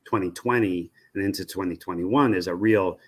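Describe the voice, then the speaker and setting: An older American man with a fairly low voice speaks as an interviewed expert. He explains things analytically, building his sentences in halting pieces and circling back to repeat a phrase. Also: breathy edge. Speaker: guest, media research executive.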